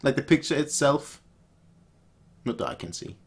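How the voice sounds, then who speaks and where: A man's voice: fairly high pitch, animated, normal speed, slightly rough. A man reacting casually as a video host, rambling, repeating his phrases and exclaiming.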